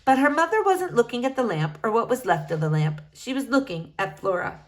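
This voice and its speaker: A woman reading a children's book aloud with expressive storytelling. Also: a little high, brisk. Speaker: reader.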